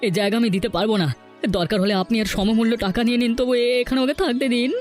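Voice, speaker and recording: Man voicing a high-pitched, earnest village husband. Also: rapid-fire, soft background music. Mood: protesting. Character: anxious, humble village husband, stubborn about his home. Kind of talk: Bengali cartoon folktale voice acting.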